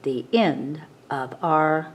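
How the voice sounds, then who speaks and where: A woman reading a picture book aloud to children as a storytime reader, in a dramatic, expressive manner. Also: deep voice, drawn-out words, slight background noise.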